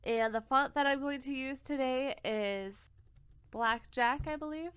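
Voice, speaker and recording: A young woman with a blocked-up, congested voice explains craft software one click at a time. Her tone sits fairly high and smooth, and she is recorded close and dry. The home recording sounds a little muffled and lo-fi. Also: a little slow, no room sound. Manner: step-by-step, self-correcting, plain and friendly. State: congested from a cold. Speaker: craft tutorial host. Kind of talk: screen-recorded software tutorial.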